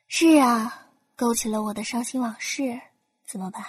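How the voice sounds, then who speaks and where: Woman acting as a reserved polite young lady, bit high, echoey, radio drama with music. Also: normal speed, a little hiss or hum, close to an everyday voice. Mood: wry. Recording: roomy echo, music underneath.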